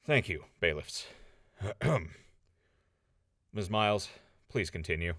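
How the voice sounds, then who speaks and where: A man's voice: low-pitched, expressive, very slow, fairly bright tone, no echo, heavily acted. A man playing a stern, composed judge who rules tersely and formally in an audio drama courtroom. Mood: stern, measured.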